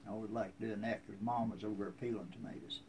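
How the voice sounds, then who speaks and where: Gravelly old rural American man. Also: lowish voice, little pitch movement, fast talker, darkish tone. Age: 70s. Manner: folksy, plainspoken. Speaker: interviewee telling family history. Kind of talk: casual reminiscing. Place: outdoors on a covered wooden porch. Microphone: handheld phone a few feet away. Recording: outdoor ambient noise.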